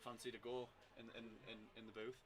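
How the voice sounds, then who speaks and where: Fast-talking Northern English co-commentator. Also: male voice, mid-range voice, level tone. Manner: fast, joking, self-deprecating. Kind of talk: live sports commentary and banter. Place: commentary booth at an outdoor paintball field. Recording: very loud crowd and field noise behind.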